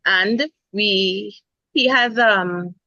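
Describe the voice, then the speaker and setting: A woman's voice: medium pitch, drawn-out words, bright, forceful tone, no room sound, lo-fi sound. A woman nurse speaking earnestly in a casual health talk, restarting words as she thinks. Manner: earnest, repeats herself while finding words. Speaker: nurse, guest.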